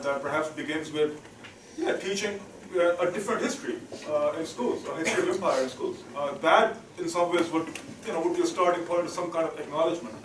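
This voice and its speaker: Panel moderator, male, quick and halting, distant mic in a reverberant seminar room. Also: a little high. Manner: hesitant, many fillers, switching into Hindi-Urdu. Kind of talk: academic panel discussion. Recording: echoey room, distant mic, background hiss.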